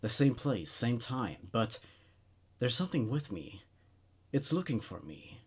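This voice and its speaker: A man's voice: mid-range voice, very animated, a little slow, breathy edge. A man voicing a troubled, nervous male patient in his twenties in a horror story, hesitant and uneasy. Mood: haunted, fearful.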